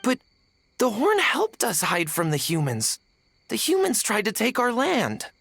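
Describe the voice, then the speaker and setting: A woman voicing a gruff, anxious and wary tanuki spirit in a video game, with a raspy voice. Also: a little high, huge pitch swings, measured pace, a bit bright, heavily acted.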